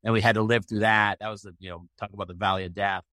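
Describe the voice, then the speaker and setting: Fast-talking middle-aged American man, lowish voice. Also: steady tone, pushed and bright, no echo, studio quality. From Maine, United States. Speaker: interview guest, tech entrepreneur. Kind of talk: casual conversational storytelling.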